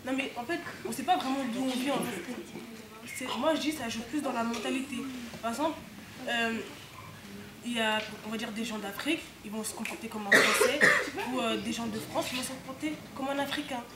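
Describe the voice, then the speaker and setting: A woman's voice: a little high, brisk. A teenage girl in a casual classroom debate, unsure and restarting her phrases, in an echoey room with background noise. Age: teens. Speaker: student in a group discussion.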